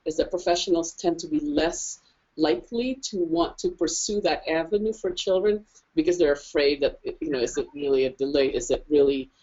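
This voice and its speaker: Low mellow-voiced woman, hesitant. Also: normal speed, dull audio. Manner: thoughtful, hesitant with many restarts. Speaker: panelist. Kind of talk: conversational discussion.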